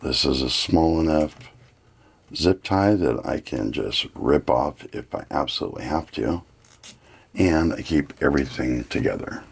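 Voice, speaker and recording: A man with a gravelly, husky voice giving a casual, plain-spoken hands-on product review. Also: rumbling low, lively intonation, unhurried.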